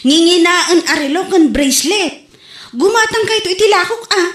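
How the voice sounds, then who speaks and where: A woman's voice: extremely high pitch, normal speed, reverberant. Woman acting a chatty Ilocano village wife. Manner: animated, laughs while bargaining. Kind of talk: comedy drama acting.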